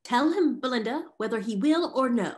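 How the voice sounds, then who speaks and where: A woman playing a poised, frank young gentlewoman who gossips, in a stagey Restoration comedy reading. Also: a little high, animated, moderate pace, lightly acted. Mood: insistent. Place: indoor home room on a video call.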